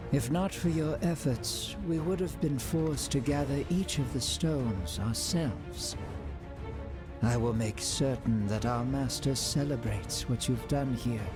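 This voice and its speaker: An actor playing a sinister, mocking henchman of a dark master in a video game, with silky, breathy menace. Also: medium pitch, close to an everyday voice. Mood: mock courtesy, menace. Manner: slow, mocking, breathy menace dropping to whispers. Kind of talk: video game villain voice acting. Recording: music under the voice.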